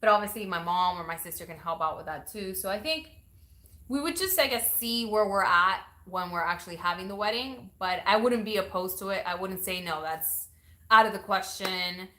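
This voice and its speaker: A woman's voice: mid-range voice, moderate pace, pushed and bright. A woman from Venezuela hosting a casual live question-and-answer chat, laughing often and reading out viewer comments. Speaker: YouTube channel host. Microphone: ring light setup, camera mic.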